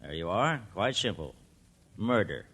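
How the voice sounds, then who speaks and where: An actor playing a precise, self-assured forensic expert in 1940s radio drama style. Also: male voice, low voice, big pitch swings, measured pace, over loud music, heavily acted. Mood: clinical, satisfied.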